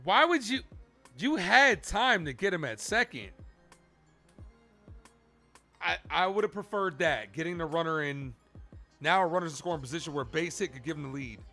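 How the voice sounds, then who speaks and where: Male livestream sports host, bright forceful voice, excitable play-by-play with chatty asides, faint music underneath. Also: a little high, brisk. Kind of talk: live fan play-by-play commentary and reaction.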